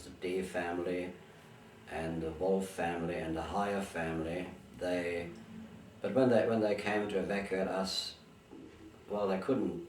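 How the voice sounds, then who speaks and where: An older man with a very deep voice, born in Bulgaria and raised first on Bulgarian, tells his family history in halting, restarting sentences. He sits some distance from the mic in an echoey room, with a faint hiss underneath. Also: a little mellow. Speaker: interviewee. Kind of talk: recalling memories in an interview.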